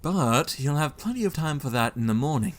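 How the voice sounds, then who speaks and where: A man's voice: fairly high pitch, expressive, brisk. An actor playing an earnest, devoted Victorian husband and father in an audio drama. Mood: fatherly.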